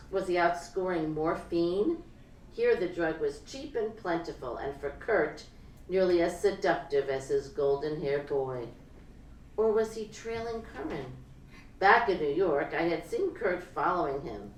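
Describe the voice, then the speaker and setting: A woman giving an expressive live reading of literary short fiction, acting out the dialogue dramatically. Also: on the low side. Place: live reading event, in a room before an audience. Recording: roomy live hall recording, distant mic, noisy.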